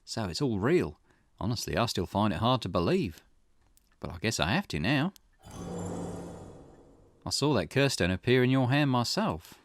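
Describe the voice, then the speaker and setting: A man's voice: mid-range voice, big pitch swings, normal speed, long pauses. Man acting a wry, probing private investigator. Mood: curious, probing. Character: male private investigator, wry, inquisitive and businesslike. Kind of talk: visual novel dialogue reading.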